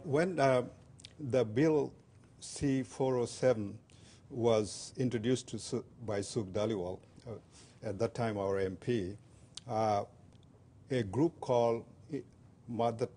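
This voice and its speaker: An older man from Bangladesh whose first language is Bengali, a guest on a community TV interview, speaking haltingly with many fillers and restarts. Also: mid-range voice, dark tone, husky.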